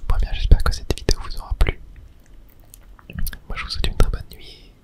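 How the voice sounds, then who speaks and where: A gamer giving softly whispered ASMR commentary on a video game, with small clicky interjections. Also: a little fast. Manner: soft unvoiced whispering with small mouth-sound interjections. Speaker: gaming ASMR creator.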